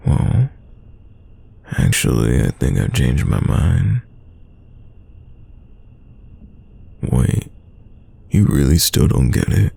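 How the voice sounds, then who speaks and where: Man acting a very deep, gravelly teasing crush. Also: sing-song, slow pace, full character voice. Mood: exasperated, confessing. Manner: playful, teasing, soft close delivery. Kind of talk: romantic roleplay audio, intimate one-sided dialogue.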